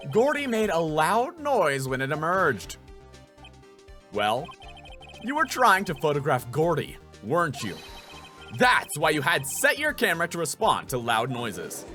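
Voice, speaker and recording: A man's voice: on the high side, huge pitch swings, measured pace, pushed and bright, soft background music, pitched above the performer's own voice, exaggerated voice. A man voicing a determined young lawyer. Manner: earnest, emphatic. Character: earnest young male defense lawyer, determined. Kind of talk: video game voice acting.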